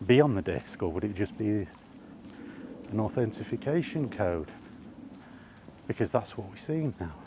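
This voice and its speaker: Man, deep voice, soft mellow tone, casual rambling walk-and-talk, faint outdoor noise. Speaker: vlogger. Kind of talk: casual rambling chat while walking. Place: outdoors on a walk, under trees.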